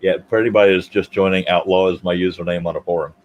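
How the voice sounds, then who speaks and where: Man, 50s, low-pitched, self-deprecating livestream host; faint background hum. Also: level tone, racing, muffled recording. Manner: joking, self-deprecating, rambling. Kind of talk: casual chat.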